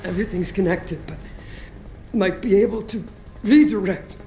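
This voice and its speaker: A woman's voice: mid-range voice, normal speed, warm tone, lightly acted. A woman playing a tough, wry operative in a video game, badly wounded and speaking with labored, pained determination. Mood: pained urgency. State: weak, labored, in pain. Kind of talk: video game dramatic acting. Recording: echoey space with faint music.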